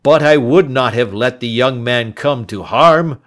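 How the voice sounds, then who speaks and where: A man's voice: medium pitch, a bit bright, voice pushed up, lightly acted. A man doing a dying old man, pleading. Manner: earnest, pleading, slow and heavy. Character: aging male rancher, dying and remorseful. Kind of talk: audiobook character voice.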